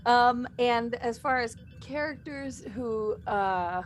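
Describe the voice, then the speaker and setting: A woman's voice: a little high, slow pace. A woman in a casual group chat, reading and answering fan questions in a playful way.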